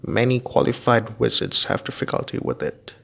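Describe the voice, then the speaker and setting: A man voicing a kindly adult male wizard teacher who speaks slowly and emphatically. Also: mid-range voice, creaky, a bit bright, slight background noise. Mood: instructive. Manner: slow, emphatic and instructive.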